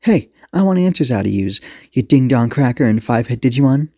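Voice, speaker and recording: A cocky young police commander woman from an adventure story, acted out by a man. The voice is pushed higher and barks slangy, foul-mouthed taunts and threats. It is recorded dry. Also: lively intonation, brisk, mellow, dry recording. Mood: taunting.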